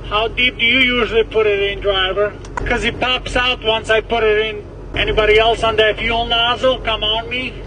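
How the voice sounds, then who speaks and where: A man's voice: sits high, level tone, normal speed. A man, a trucker, teasing other drivers over a CB radio. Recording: noisy CB radio with vehicle hum.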